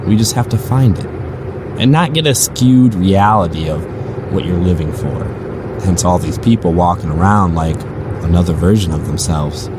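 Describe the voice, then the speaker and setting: A man's voice: low voice, animated, normal speed. A man playing a weary, musing rideshare driver who narrates eerie stories in a conversational, first-person audio drama style. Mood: earnest, reflective. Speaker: narrator and lead character. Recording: clearly noisy, car and street ambience.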